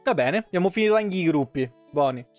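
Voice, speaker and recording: A man reads game lore aloud on a live stream. He slips between narration and casual asides in an informal way. Soft plucked guitar music plays behind him. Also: high voice, lively intonation, fairly quick. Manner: informal, breaks off to comment. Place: indoor home streaming setup.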